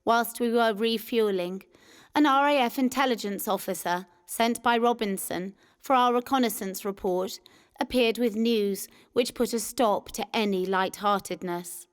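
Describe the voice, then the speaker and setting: A woman's voice: on the high side, a little slow, clear, resonant tone. A woman reading a scripted first-person wartime memoir as a narrator. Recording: music behind the voice. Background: music.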